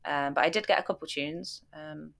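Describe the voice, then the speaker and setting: A woman's voice: low-pitched, normal speed, vocal fry. A young woman from Coventry, a DJ guest chatting casually on a podcast with lots of ums and easy laughs. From Coventry, England. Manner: relaxed, filler-heavy, laughs easily.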